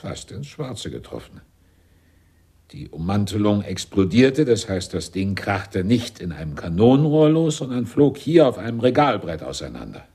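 An actor in a German radio play as a blunt, grumbling fire captain explaining things matter-of-factly. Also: male voice, on the low side, big pitch swings, normal speed, warm tone, breathy edge, heavily acted. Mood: dry, matter-of-fact.

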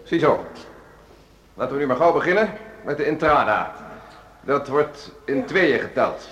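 An actor playing a strict, self-assured orchestra conductor, briskly addressing his players in a radio drama. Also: on the high side, animated. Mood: strict, instructing. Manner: brisk, commanding, addressing a group. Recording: echoey hall, music behind.

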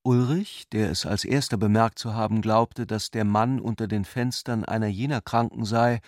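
A man's voice: on the low side, fairly even intonation, moderate pace, darkish tone, no room sound, clean studio sound. A man reading scripted literary narration for a radio play as its storyteller.

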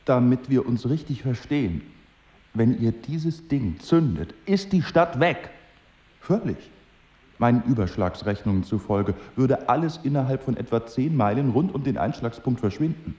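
A man playing a learned, methodical knight in a radio play, explaining things in a deliberate, didactic way. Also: mid-range voice, lively intonation, dark tone, close to an everyday voice. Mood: grave, precise. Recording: muffled, echoing room.

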